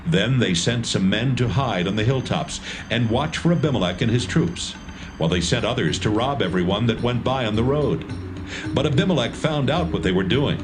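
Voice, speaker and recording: A man reads scripture narration in an even, measured storytelling voice. His pitch sits in the middle range for a man. A music score runs under the whole reading.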